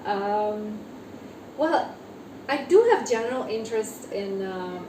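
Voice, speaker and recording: Soft-spoken Ukrainian woman, echoey and distant. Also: mid-range voice, expressive, slow pace. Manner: hesitant, many fillers. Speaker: guest author. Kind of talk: interview conversation. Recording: echoey room, distant mic, noisy.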